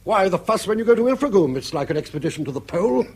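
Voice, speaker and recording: A man's voice: sits high, sing-song, rapid-fire, darkish tone, slightly rough, full character voice. An actor playing a bankrupt, argumentative old grandfather in a British radio drama, blustering and sardonic as he needles his family. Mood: mocking. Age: older.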